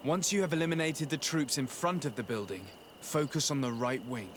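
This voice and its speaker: An actor voices a composed nobleman who plans and negotiates in a video game. His speech is formal and measured, at a medium male pitch. Ambient noise runs behind him. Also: fairly bright tone, close to an everyday voice. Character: composed young noble diplomat and tactician.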